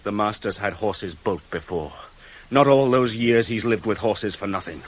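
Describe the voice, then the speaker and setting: An actor playing an anguished servant messenger in a Greek tragedy, with a measured, declamatory, intense delivery for radio drama. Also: male voice, lowish voice, natural voice. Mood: grim, urgent. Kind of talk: theatrical verse recitation for radio drama.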